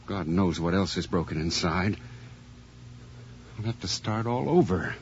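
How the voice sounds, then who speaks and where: An actor playing an obsessive, browbeaten middle-aged husband who mutters excitably to himself in a radio drama. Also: male voice, sits low, measured pace. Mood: bitter, muttering. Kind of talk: 1980s radio horror drama acting. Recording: dull, noisy old radio recording.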